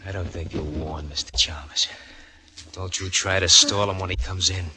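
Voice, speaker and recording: A man's voice: sits low, fairly even intonation, moderate pace, crowd noise, lightly acted. An actor playing a brutal, scheming son who bullies his mother in a 1940s radio drama. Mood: menacing. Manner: menacing and bullying. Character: brutal, scheming young male murderer son.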